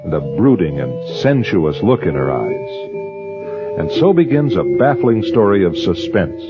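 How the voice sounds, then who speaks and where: A man hosting a radio suspense drama with measured, portentous scripted narration. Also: low-pitched, sing-song. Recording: dull radio recording with loud organ.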